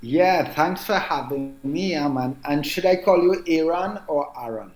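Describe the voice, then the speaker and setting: A man in his forties with a Trinidadian accent, speaking as an author guest in a relaxed interview and musing aloud with many "um"s and "you know"s. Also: on the high side, roomy sound, low-quality recording. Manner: reflective, unhurried, frequent "um" and "you know". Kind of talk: casual interview conversation.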